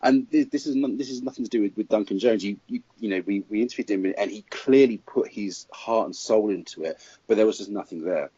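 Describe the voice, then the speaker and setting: Male film critic, low husky voice, emphatic and opinionated, dry muffled podcast recording. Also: a little fast, dark tone, no echo. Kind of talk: casual podcast chat. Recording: dull lo-fi podcast audio.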